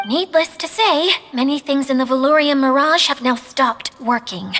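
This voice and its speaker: A woman's voice: high-pitched, fairly bright tone. A woman voicing a flustered, self-mocking young female domain keeper, dramatic in a video game cutscene. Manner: dramatic, quick swings into embarrassed panic. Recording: game dialogue over music.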